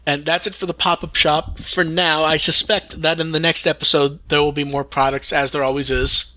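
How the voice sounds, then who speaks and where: Bright, higher-voiced man hosting a chat. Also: level tone, normal speed. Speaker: podcast host. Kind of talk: casual fan news chat.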